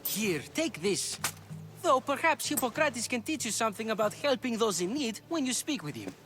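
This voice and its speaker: A man's voice: extremely high pitch, big pitch swings, moderate pace, over music. A man playing an anxious, earnest assistant to an ancient Greek physician in a video game. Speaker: game character. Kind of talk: video game cutscene acting.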